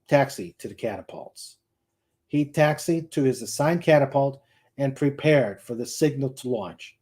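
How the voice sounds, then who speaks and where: Mellow-voiced man reading fiction aloud. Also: medium pitch. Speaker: host reading a novel aloud. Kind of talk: dramatic audiobook-style narration.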